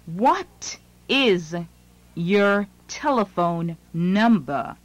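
A woman's voice: mid-range voice, expressive. A woman reading English lines in a scripted language lesson, phrase by phrase. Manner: slow, deliberate, phrase by phrase.

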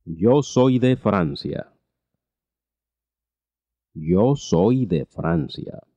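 Slow, deep-toned man drilling phrases. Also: expressive, dark tone, dry recording, highs cut off. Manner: deliberate, repeats each phrase twice. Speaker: language teacher. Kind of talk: slow repeated translation drills.

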